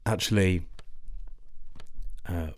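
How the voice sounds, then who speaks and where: A slow, weary, frightened husband. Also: male voice, deep voice, fairly even intonation. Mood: low, confessional. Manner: halting, understated, sighing. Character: weary, frightened husband and young father, holding back pain. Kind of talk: naturalistic audio drama dialogue.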